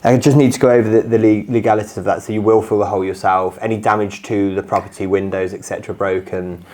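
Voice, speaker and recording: A man's voice: on the low side, steady tone, fast, a little mellow, natural voice. A man playing a matter-of-fact council utilities officer on a prank call, polite and officious with some hesitation.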